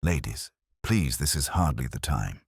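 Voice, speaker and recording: A man reader voices a formal chairwoman in a village audio mystery. The speech is stiff and brisk in manner, with a husky tone and wide pitch movement. The sound is dry. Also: expressive, no room sound, exaggerated voice. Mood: strained authority.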